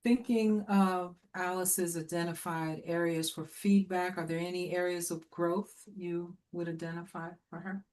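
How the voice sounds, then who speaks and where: Measured woman facilitator. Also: lowish voice, steady tone, darkish tone. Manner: measured, asks guiding questions. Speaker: group facilitator. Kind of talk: facilitating a discussion.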